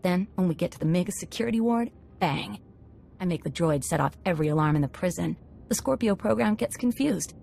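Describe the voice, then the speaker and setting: A woman's voice: fairly low pitch, lively intonation, fairly quick, gravelly, darkish tone, slight background noise. A woman playing a tough, cocky convict hacker in a game cutscene, confident and matter-of-fact. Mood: confident, businesslike. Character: tough, cocky female convict computer slicer.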